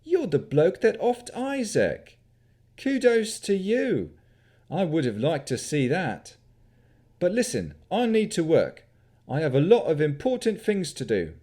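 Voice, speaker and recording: A man voicing a gangly, surly young male wizard with a rough British country yokel accent. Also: fairly high pitch, huge pitch swings, unhurried, dark tone, voice pushed up, heavily acted. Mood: boastful. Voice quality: brash, rough-spoken.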